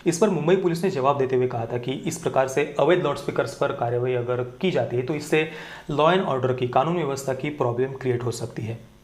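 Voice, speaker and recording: A man's voice: medium pitch, brisk, a little mellow. A man giving earnest opinion commentary to viewers, emphatically repeating his key points. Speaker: video commentator. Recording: roomy, echoing indoor sound.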